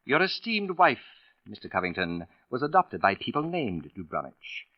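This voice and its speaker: An actor playing a suave, condescending writer and sleuth in a 1940s radio drama, speaking with pompous, theatrical precision. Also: male voice, mid-range voice, sing-song, normal speed, no echo. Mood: superior, revealing. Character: suave, condescending male writer turned shrewd accusing sleuth. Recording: dull vintage radio recording.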